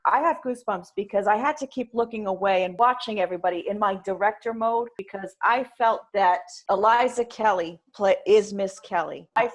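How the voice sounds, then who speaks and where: A woman's voice: mid-range voice, brisk, few pauses. A woman giving casual, encouraging feedback as a director.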